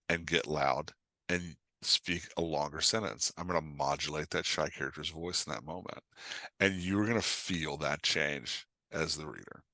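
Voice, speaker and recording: A man's voice: extremely low pitch, bright, forceful tone, no echo. A man giving a casual interview as a guest writing coach, explaining craft emphatically and checking in with the listener as he goes.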